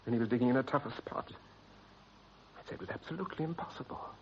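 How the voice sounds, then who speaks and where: An actor playing an anxious, guilt-ridden British husband in a period radio drama, speaking in a clipped, grave and troubled way. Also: male voice, mid-range voice, quick pace, slight background noise, lightly acted. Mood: troubled, disbelieving. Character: anxious guilt-ridden husband hiding a body, adult man. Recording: dull, band-limited vintage radio recording.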